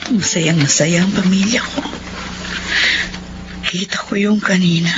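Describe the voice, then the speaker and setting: A woman playing a regretful mother who lives apart from her family, speaking softly and sorrowfully in a radio drama. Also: on the low side, a little slow, lightly acted. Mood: wistful. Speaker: narrating character. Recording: dull lo-fi audio over music.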